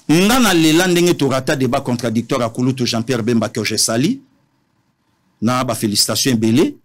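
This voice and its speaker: A man with a Congolese accent in an animated political discussion, emphatic, repeating phrases and switching between languages. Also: mid-range voice.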